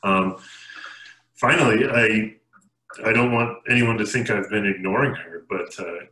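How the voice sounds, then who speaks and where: A man moderates an online panel in a low, forceful voice. He phrases things haltingly and tentatively. The room rings slightly around him over the video call. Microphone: headset. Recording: roomy, echoey video-call audio.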